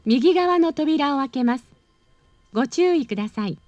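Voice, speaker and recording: High-pitched, polite woman announcing on a train. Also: expressive, measured pace, a little mellow, no echo, highs cut off, faint music behind. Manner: polite, formal, practised delivery. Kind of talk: scripted transit announcement. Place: train car, over public-address speakers. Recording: recorded off an in-car speaker, slightly distant.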